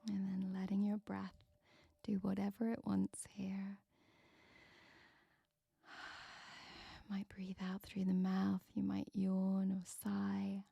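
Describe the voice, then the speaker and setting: A woman softly whispering a guided meditation with slow, soothing breath counts. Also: mid-range voice, completely flat delivery, vocal fry, husky, dry recording, lo-fi sound.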